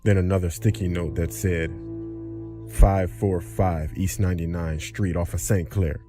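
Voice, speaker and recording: Low-pitched male horror narrator over music. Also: steady tone, measured pace. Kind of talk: scripted narration.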